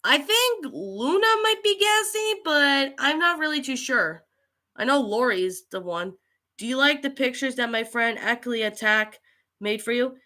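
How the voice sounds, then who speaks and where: The woman you would find hosting a small hobby livestream while nursing a cold, greeting commenters one by one in a bright, halting voice. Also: fairly high pitch. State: getting over a cold with allergies. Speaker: livestream host and creepypasta narrator. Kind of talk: casual livestream chat, reading and answering viewer comments.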